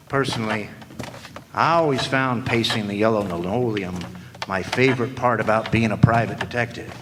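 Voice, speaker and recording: A man's voice: medium pitch, background noise, natural voice. A man playing a wisecracking hard-boiled private detective in a radio play, with a theatrical, sardonic delivery. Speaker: narrator and lead actor in radio play. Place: live radio play in a hall. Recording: live stage, long hall reverb.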